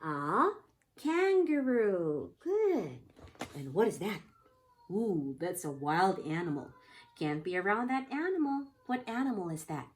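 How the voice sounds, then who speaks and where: A woman's voice: mid-range voice, very animated, measured pace. A woman teaching young children in a read-aloud lesson, explaining simply and prompting with repeated questions. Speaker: pre-K teacher.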